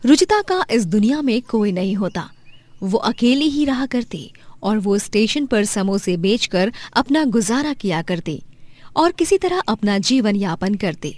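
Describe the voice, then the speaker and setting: A woman's voice: medium pitch, sing-song, unhurried, darkish tone, no room sound. A woman reading a scripted story as its narrator.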